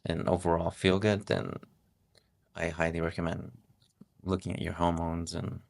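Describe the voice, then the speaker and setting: Deep gravelly man, flat and relaxed. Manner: relaxed, halting, with many restarts. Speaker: podcast guest, host's husband. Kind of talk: casual interview talk.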